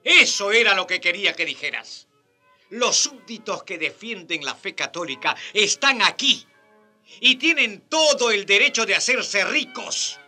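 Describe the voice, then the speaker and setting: A self-justifying king in a radio drama, with a high, sharp voice that swoops widely. He takes his time, dismissive and condescending, in a theatrical style. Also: animated, a little slow, faint music behind, heavily acted. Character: evasive, self-justifying, pragmatic King of Spain.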